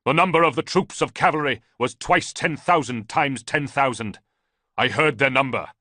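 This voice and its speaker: A man voicing a bearded, fanatical male priest who chants prophecy in a booming voice. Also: moderate pace, bright, forceful tone, dry recording, studio quality. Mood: ranting.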